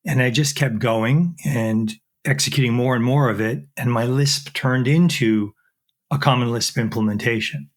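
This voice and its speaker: A middle-aged man speaks in a thoughtful, halting way, full of fillers and false starts as he works through technical explanations. His pitch sits in an ordinary middle range. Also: fairly even intonation. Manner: thoughtful, frequent fillers and restarts. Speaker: guest, chemistry professor. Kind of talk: technical interview.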